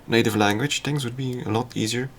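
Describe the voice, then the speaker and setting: A man whose first language is Dutch, casually talking through his game on a livestream in a halting, thinking-aloud way. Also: mid-range voice, fairly even intonation, a bit bright, a little hiss or hum. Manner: halting, self-deprecating, thinks aloud with fillers. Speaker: streamer.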